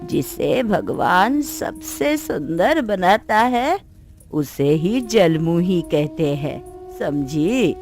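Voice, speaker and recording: A woman voicing a gentle village mother explaining things to her child in a cartoon story. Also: fairly low pitch, big pitch swings, drawn-out words, soft background music, exaggerated voice. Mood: gentle, subdued. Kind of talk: cartoon moral story dialogue.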